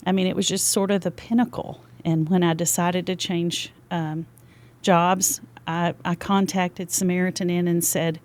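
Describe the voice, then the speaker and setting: A woman's voice: on the low side, little pitch movement, moderate pace, darkish tone. Chatty older Texan woman. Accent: Texas. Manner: chatty and talkative. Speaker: podcast guest. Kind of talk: casual interview chat. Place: podcast studio.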